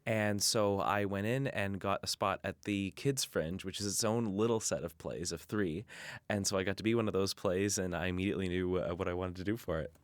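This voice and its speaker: Young male interview guest; low-pitched, halting and thoughtful explainer, dry close sound. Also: no echo. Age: young adult. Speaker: interview guest, student puppeteer. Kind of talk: casual interview conversation.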